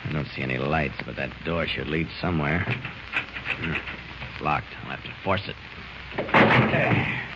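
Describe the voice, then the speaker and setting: An actor playing a determined superhero thinking aloud in 1940s radio style. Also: male voice, rumbling low. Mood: resolute, searching. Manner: brisk self-narration, resolute. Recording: muffled, noisy old radio recording.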